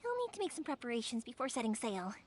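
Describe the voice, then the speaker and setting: An actress playing a cheerful, caring young woman in a video game, chatty, expressive and easygoing. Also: fairly high pitch, fast, very noisy. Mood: breezy, confident. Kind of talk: video game character acting.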